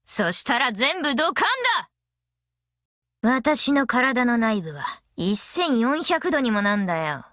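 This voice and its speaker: A woman voicing a brash, easygoing, long-lived female master metalsmith in an anime game, with rough, cocky, tomboyish speech. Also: a little high, lively intonation, a little slow, a bit bright, no room sound, studio quality. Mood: fierce, commanding. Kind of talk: anime mobile game character voice lines.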